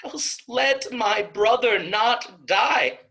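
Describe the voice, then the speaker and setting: Slow, intense devout young woman played by a man. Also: sits high, animated, sharp tone, exaggerated voice. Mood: impassioned. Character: devout, steadfast young woman pleading for her condemned brother. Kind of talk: Shakespearean staged reading.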